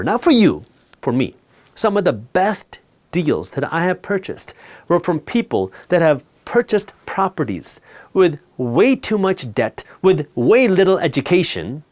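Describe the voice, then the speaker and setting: A man's voice: on the high side, a little mellow. A man whose first language is Punjabi, explaining money matters to camera in a blunt, direct, emphatic way. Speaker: finance educator and host.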